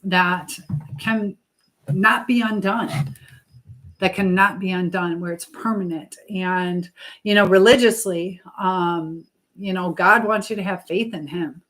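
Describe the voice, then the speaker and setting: A woman hosting a live chat, speaking earnestly and haltingly with pauses as she gives advice and encouragement to viewers. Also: lowish voice, steady tone, fairly bright tone, rough recording. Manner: religious encouragement. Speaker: live stream host.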